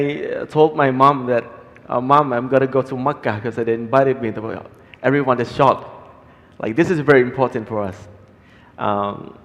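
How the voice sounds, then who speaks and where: Thai-accented man speaking in a reverberant hall. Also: mid-range voice. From Thailand. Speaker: panelist, halal content creator. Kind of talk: informal self-introduction on stage. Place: large hall, on stage at a forum. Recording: reverberant hall, stage sound.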